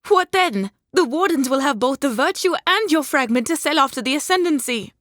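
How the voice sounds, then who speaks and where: High, frantic, pleading woman. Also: expressive, fairly quick, a bit bright, no room sound, clean studio sound. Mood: panicked, urgent. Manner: urgent, emotional, insistent. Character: frantic, pleading woman, anxious protective guardian of a colleague. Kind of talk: audiobook character acting, dramatic.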